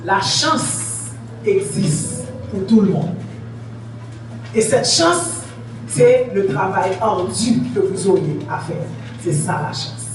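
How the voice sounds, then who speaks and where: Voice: mid-range voice, lively intonation, slow pace, faint music behind. A preacher delivering a sermon to a congregation. The preacher is emphatic, repeats points and asks the congregation questions. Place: church hall in Haiti. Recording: very echoey, distant, noisy church recording.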